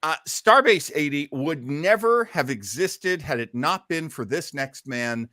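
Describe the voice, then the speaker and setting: A man's voice: fairly high pitch, lively intonation, measured pace, bright, forceful tone, no room sound, studio quality. A man hosting a casual live online show in an effusive, folksy way with plenty of exclamations. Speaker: host of an online listening show.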